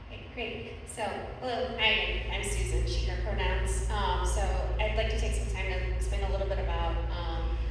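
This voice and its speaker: A woman presenting to a workshop audience as a planner on a team. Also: medium pitch. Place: large echoey room at a conference. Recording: echoey hall, distant mic, faint noise.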